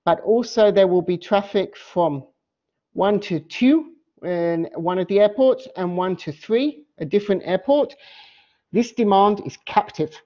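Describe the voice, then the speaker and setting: A woman professor talks through slides in an academic lecture. Her voice is mellow and relaxed, at a medium pitch. She explains step by step, often restarting and rephrasing as she goes. The recording is a little dull and lo-fi. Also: a little slow. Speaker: professor giving a research lecture. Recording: dull, muffled lo-fi audio.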